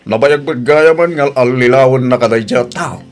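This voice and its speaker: A man acting a soft-spoken, lovelorn suitor in an audio drama, tender and coaxing as he consoles. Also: mid-range voice, normal speed, close to an everyday voice. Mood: tender consoling. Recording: music bed under the voice.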